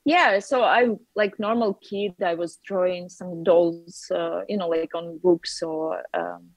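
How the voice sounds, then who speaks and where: A woman's voice: lowish voice, slow pace, no room sound, rough recording. A woman in her thirties with a Russian accent, chatting as the guest on a video call interview through wireless earbuds, with lots of fillers and laughter. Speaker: artist guest. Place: bright room with a wooden ceiling.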